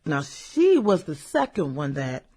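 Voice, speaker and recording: An actress playing a brooding, vengeful woman musing over old classmates in a radio drama, hesitant and husky. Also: sits low, huge pitch swings, measured pace, mellow, full character voice. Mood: wistful, somber. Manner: musing, hesitant, chuckles and hums between thoughts. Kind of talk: radio drama acting, conversational monologue.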